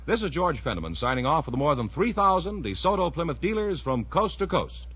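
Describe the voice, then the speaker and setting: High-voiced, animated American radio announcer, male. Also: a little fast, husky. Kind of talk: scripted 1950s radio announcing. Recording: dull 1950s radio recording.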